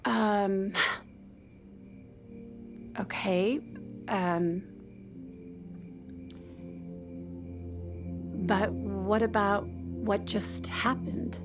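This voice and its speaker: An actress playing a hurt, clinging, sharp-tongued estranged wife in a naturalistic audio drama, pressing with questions and pleas. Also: female voice, medium pitch, steady tone, drawn-out words, pauses often, music in the background. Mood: hurt, pleading.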